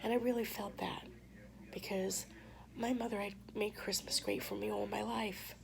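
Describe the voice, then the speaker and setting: A woman vlogger losing her voice to hoarseness, telling a personal story to camera in a soft, halting way with many pauses. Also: mid-range voice, little pitch movement, crowd noise.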